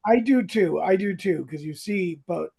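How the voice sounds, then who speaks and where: A husky podcast co-host with a very animated delivery, swinging up and down in pitch. The talk is casual and comic, full of playful mock drama, with some creak in the voice. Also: normal speed. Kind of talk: casual comedic chat about old radio drama.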